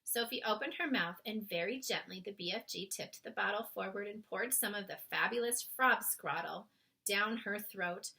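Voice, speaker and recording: A woman reading a children's story aloud as an expressive narrator. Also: mid-range voice, moderate pace, poor audio. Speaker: storybook reader.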